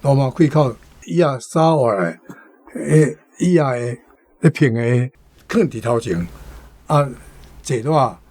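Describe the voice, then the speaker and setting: A man's voice: mid-range voice, slow, long pauses, darkish tone, no echo. A man in a mid-range voice talking as a guest, going back over phrases from a novel and explaining each one. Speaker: guest commentator. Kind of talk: explaining a passage from a book.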